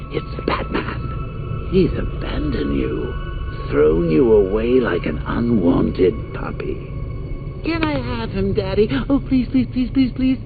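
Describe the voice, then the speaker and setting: Gravelly mocking clown villain, slow drawl, tinny phone-ish sound. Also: male voice, high voice, full character voice. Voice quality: gravelly, theatrical swings between whisper and shout. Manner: mocking, sing-song cruelty. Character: taunting manic male clown villain. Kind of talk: video game voice acting. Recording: tinny lo-fi audio with music underneath.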